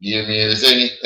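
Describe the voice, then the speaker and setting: A hoarse man hosting a casual livestream, blunt and quick to laugh while greeting his chat. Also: mid-range voice, bright, forceful tone, poor audio. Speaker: livestream host.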